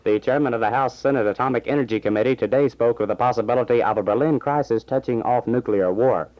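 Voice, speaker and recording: A man reading scripted news and announcements as a 1960s radio newsreader, formal and measured. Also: medium pitch. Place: radio station studio. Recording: dull lo-fi vintage AM radio recording.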